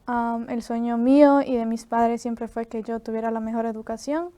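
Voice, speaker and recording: Nineteen-year-old Ecuadorian woman guest, smooth flat voice, soft, lots of fillers. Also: fairly high pitch, normal speed, dry recording. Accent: Ecuadorian Spanish. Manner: soft-spoken, many "am" fillers. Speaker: student guest. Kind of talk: interview.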